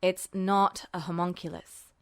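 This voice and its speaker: A calm, unflappable male officer who sounds faintly bored, read by a woman in a fan audiobook. He speaks low, evenly and drily, never raising his voice. The sound is close and dry. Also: measured pace, smooth, dry recording. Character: bored, reasonable male military superior. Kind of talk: podfic reading of fan fiction.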